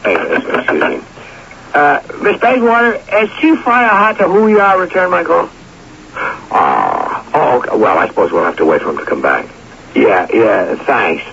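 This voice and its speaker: A man's voice: medium pitch, very animated, normal speed, gravelly, a bit bright. A male actor playing a glib, eager advertising man in a radio drama, with a grandiose, theatrical delivery. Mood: stalling. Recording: dull, noisy old radio broadcast.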